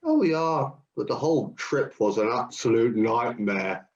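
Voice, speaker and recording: A man's voice: mid-range voice, expressive, measured pace, close to an everyday voice. A man playing Hercules, a keen, overconfident hero looking for work in a comic radio play. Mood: earnest. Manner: cheerfully overconfident, matter-of-fact. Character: Hercules, strong, keen, job-hunting hero. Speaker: job seeker. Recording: dull lo-fi audio.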